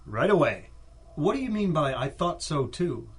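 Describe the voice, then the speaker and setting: A young lab assistant in a radio drama. His voice is a little high and rough-edged, swinging widely in pitch. He comes across as earnest, polite and eager to defer, with old-time dramatic delivery. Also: sing-song, normal speed. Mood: deferential. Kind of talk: 1930s-style radio drama acting.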